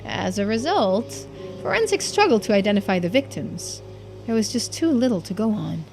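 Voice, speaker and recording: A woman's voice: mid-range voice, very animated, music in the background. Woman voicing a formal, diligent investigator's assistant. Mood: formal, serious. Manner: formal, measured, reporting. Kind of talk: voiced visual-novel dialogue.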